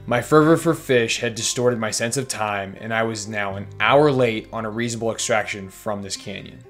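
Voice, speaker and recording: Candid man narrating a fishing mishap. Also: mid-range voice. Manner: candid, self-deprecating storytelling. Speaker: fishing vlogger. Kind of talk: reflective voice-over narration.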